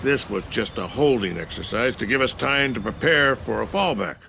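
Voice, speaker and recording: This is a stern navy admiral from a war video game. His voice is gravelly and his delivery is clipped and commanding. A faint hiss sits under the voice. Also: medium pitch, lively intonation, normal speed. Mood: firm. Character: gruff older male navy admiral, stern.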